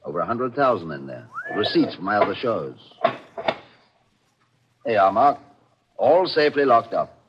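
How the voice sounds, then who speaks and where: Lively, obliging businessman. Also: fairly low pitch, moderate pace, long pauses. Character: unsuspecting, obliging theatrical producer and husband. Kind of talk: 1940s radio drama acting. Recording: muffled, noisy old radio recording.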